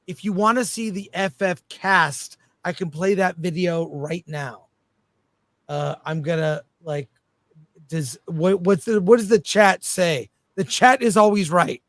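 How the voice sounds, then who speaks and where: Male livestream host, high-pitched voice, casual chat delivery, dry close sound. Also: a little slow, no echo. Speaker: talk show host.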